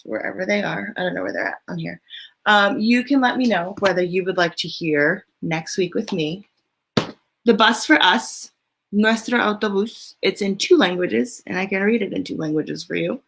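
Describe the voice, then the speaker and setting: Informal woman hosting story time, slightly creaky voice. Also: mid-range voice, a bit bright, a little hiss or hum. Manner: informal, rambling, thinking aloud. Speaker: children's story time host and reader. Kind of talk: reading a picture book aloud, then casual chat to children.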